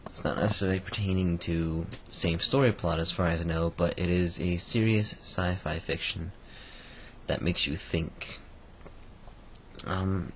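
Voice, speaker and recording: A man with a croaky voice giving a casual, rambling book review, full of fillers and restarts. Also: sits low, slight background noise.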